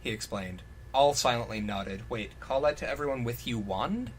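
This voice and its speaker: A man's voice: mid-range voice, normal speed, slight background noise. A man reading fan fiction aloud in a mock-dramatic style, breaking into jokey, profane casual commentary.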